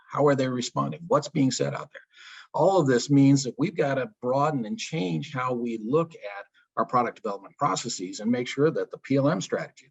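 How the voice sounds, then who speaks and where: A man's voice: medium pitch, slightly rough, highs cut off. A man giving a guest presentation in a business webinar, explaining steadily and asking rhetorical questions. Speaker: guest speaker, product lifecycle advisor.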